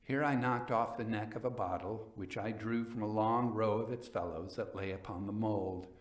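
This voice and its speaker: A man reading literary fiction as a dramatic storyteller, in a measured, theatrical manner. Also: lowish voice, roomy sound.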